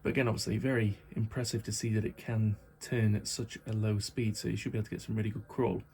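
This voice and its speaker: A slightly croaky man chatting casually as he shows off and tests a model locomotive, repeating his praise and filling pauses with ums. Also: lowish voice, little pitch movement, a little mellow. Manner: chatty, repeats praise words, many fillers. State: croaky from hay fever and little sleep. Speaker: model railway hobbyist reviewer. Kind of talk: casual unboxing and test-run commentary.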